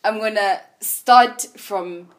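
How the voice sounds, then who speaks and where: A woman's voice: mid-range voice, slow. Woman, twenties, podcast host, candid and reflective. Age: 20s. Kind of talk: casual conversation.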